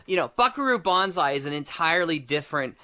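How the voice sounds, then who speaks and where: A man's voice: on the high side, lively intonation, fast talker, pushed and bright. A man chatting casually on a podcast about tabletop games, rambling as he thinks aloud and often checking that he is followed. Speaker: podcast participant. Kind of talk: casual discussion of tabletop game design.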